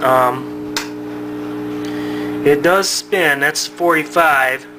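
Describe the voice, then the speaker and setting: A man giving a casual, unscripted demonstration as a hobbyist, talking in a halting, matter-of-fact way. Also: fairly high pitch, music in the background. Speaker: hobbyist showing his vintage radio-phonograph. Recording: noisy home recording with steady hum.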